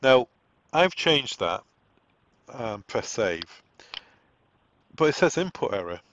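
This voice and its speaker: A man's voice: medium pitch, sing-song, slow pace, a bit bright, slight background noise. Man's dull-sounding tutorial voice-over. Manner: explanatory, thinking aloud, conversational. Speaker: product trainer giving a setup walk-through. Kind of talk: informal software tutorial narration. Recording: dull, lo-fi, dry close recording.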